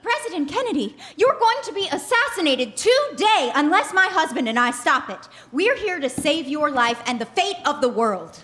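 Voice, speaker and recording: High, bright, excitable woman playing an assertive wife. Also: normal speed, few pauses. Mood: earnest. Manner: emphatic, theatrical, indignant. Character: Hilary, assertive, excitable wife and mother saving America. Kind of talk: comedic live radio play acting. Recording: live theater stage, faint noise.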